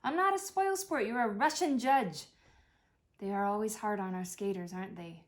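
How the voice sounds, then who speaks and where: A woman's voice: mid-range voice, moderate pace, natural voice. A woman reading a play as an anxious, wounded grown daughter of a dying mother, defensive, sardonic and blunt in manner. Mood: sulky, defensive.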